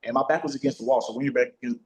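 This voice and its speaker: A man's voice: medium pitch, fairly even intonation, racing, rough recording. A man talking casually as an actor being interviewed, with slangy, sweary, bro-heavy phrasing. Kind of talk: casual chat.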